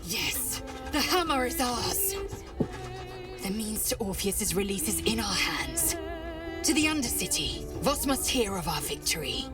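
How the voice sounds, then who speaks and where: A woman's voice: medium pitch, sing-song, a little slow, long pauses. An actress voicing a proud, fierce githyanki warrior woman in a video game, solemn, clipped and ceremonial in manner. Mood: triumphant. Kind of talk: dramatic video game dialogue. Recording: distant, with music underneath.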